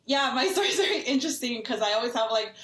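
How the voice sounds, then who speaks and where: Lively young woman in an echoey room. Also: on the high side, fast talker. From Washington State, USA, and Mexico. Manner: animated, lively, talks with many fillers. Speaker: guest, business coach. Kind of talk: conversational storytelling. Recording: roomy echo.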